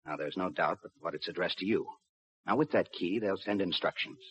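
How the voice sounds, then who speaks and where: Police lieutenant, low pitch, steady, businesslike, 1950s radio drama, muffled old recording. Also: male voice, brisk, breathy edge. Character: businesslike, steady male railroad police lieutenant, also narrating. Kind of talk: 1950s radio drama acting with first-person narration.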